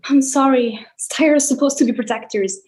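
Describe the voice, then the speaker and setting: Woman as apologetic young satyr, male, eager, high-pitched, musical theatre reading. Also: a little fast, a little mellow, natural voice. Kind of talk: online table read of a stage musical.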